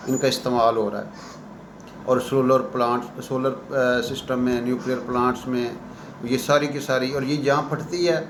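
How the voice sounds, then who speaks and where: A man giving unscripted current-affairs commentary as a professor, in an earnest, halting way with pauses and repeated phrases. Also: mid-range voice. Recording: echoey room with clear background noise.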